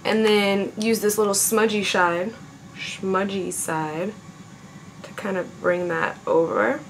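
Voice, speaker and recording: A young woman in her twenties with a slightly raspy voice, chatting playfully through a makeup tutorial at her vanity. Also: mid-range voice, fairly even intonation, takes it slowly, slight background noise, low-quality recording. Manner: chatty, playful, talks while applying makeup. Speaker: beauty vlogger. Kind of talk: casual makeup tutorial chat. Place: home makeup room with vanity. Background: faint background music.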